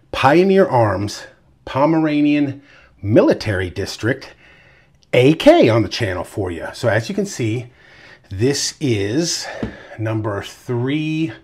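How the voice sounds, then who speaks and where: A man's voice: mid-range voice, a little mellow, slightly rough. A man with a congested voice from a cold, hosting his channel and chatting loosely through a product review. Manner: loose and chatty, with fillers and asides. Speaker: firearms reviewer, channel host.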